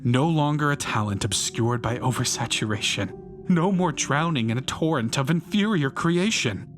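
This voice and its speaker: A man's voice: medium pitch, expressive, moderate pace, close to an everyday voice. A man performing a bitter, self-righteous failed painter turned fanatical vandal in an intense, rhyming dramatic monologue. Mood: grandiose, bitter. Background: music behind the voice.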